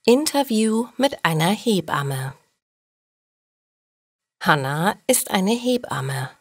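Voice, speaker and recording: A woman reading a scripted story for language learners in a deliberate, careful storytelling manner. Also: medium pitch, big pitch swings, no room sound, clean studio sound. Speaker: narrator of German listening lessons.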